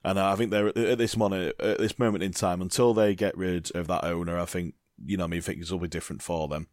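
A man's voice: sits low, steady tone, fast talker, darkish tone, no echo, clean studio sound. A man co-hosting a casual football chat, rambling and leaning on "you know, I mean" as he goes. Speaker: football podcast co-host.

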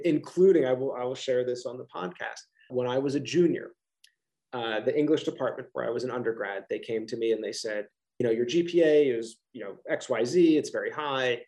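A man's voice: medium pitch, gravelly, darkish tone. A middle-aged man with a Philadelphia accent, a college professor answering interview questions casually on a video call, with many restarts and fillers.

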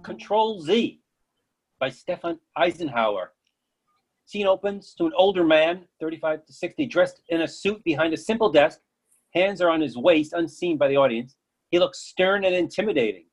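Man, husky voice, scripted narration, stage directions for a play reading. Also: on the high side, animated, moderate pace, low-quality recording.

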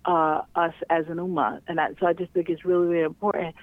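A woman's voice: fairly low pitch, little pitch movement, quick pace, dry recording. A woman in casual conversation as a guest, chatty and full of fillers and restarts. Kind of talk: casual chat. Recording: tinny phone line.

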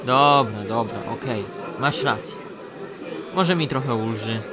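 An actor playing a put-upon, grumbling painter in a comic radio play, exasperated and bookishly wordy. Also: male voice, mid-range voice, a little slow, a loud crowd, close to an everyday voice.

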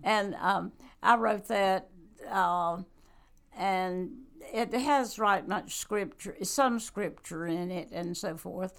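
Old southern lady, raspy fry in her voice, lots of ums and pauses. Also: mid-range voice. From North Carolina. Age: 90s. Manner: halting, many fillers and pauses. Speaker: guest, church member and author. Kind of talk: casual interview.

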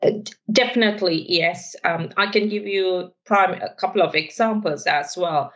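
A woman's voice: lowish voice, normal speed, fairly bright tone. A woman in her forties with a Sri Lankan accent, a guest on a podcast, speaking thoughtfully and frankly with hesitant fillers. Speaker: technology executive, guest. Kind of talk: podcast interview.

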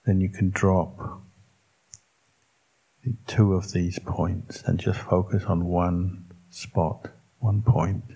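A man with a low, dark voice leads a guided meditation as a monk would. His delivery is very slow and calm, broken into short phrases with long pauses between them. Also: husky, rough recording. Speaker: Buddhist monk teaching meditation.

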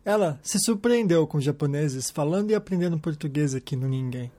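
A man hosting a podcast, telling stories in a calm scripted narration. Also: a little high, a little fast. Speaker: podcast host. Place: lives in Japan.

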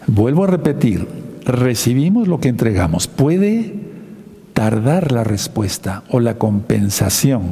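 A man preaching a sermon, teaching slowly and repeating his key phrases for emphasis. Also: mid-range voice, gravelly, mellow, in a large hall.

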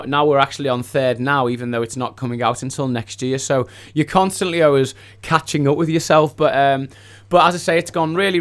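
Singer guy on a radio interview, mid voice, really grateful and gushy, casual chat. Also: mid-range voice. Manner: effusive, grateful. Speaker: singer, studio guest. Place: radio studio.

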